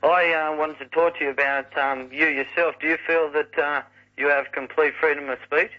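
A male caller on talkback radio, speaking in a hesitant, halting way. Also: on the high side, fairly even intonation. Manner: hesitant, halting, full of ums. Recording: dull lo-fi archival radio recording.

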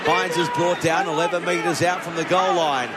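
A man with a slightly raspy voice, calling a live rugby league match with shouted, jokey commentary. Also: on the high side, normal speed. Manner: excitable, jokey, shouting over the crowd. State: raspy throat. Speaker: play-by-play commentator. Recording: loud stadium crowd and noise behind. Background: stadium crowd.

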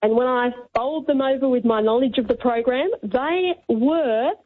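Radio caller, woman, eager storyteller, high voice, tinny phone line. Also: moderate pace. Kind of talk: phone-in chat.

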